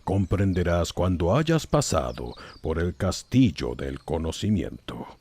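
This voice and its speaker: A man playing a wise, calm old wizard mentor in an audiobook, husky and measured in his delivery. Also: deep voice, exaggerated voice. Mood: gently instructive. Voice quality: gravelly, husky. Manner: slow, measured, sententious. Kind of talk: audiobook character acting.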